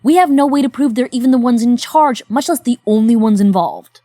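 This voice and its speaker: A woman's voice: on the high side, brisk, warm tone, no room sound. A woman in an audio drama, questioning her partner's conclusions with skepticism. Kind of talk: scripted audio drama dialogue.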